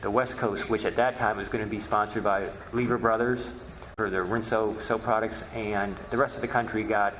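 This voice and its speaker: Man, presenter taking questions, lowish pitch, halting repeated starts, echoey meeting room, faint noise. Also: steady tone, a bit bright. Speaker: panel presenter, old-time radio researcher. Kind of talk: answering audience questions at a convention talk. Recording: roomy echo with faint noise.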